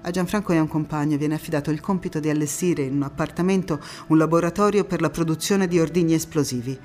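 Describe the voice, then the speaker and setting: An actress playing a passionate wartime partisan woman in a theatrical monologue, phrasing every line with deliberate emphasis. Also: low voice, lightly acted. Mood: measured narrating. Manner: emphatic, deliberate phrasing swinging between hushed intimacy and fervour. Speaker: stage actress. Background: music.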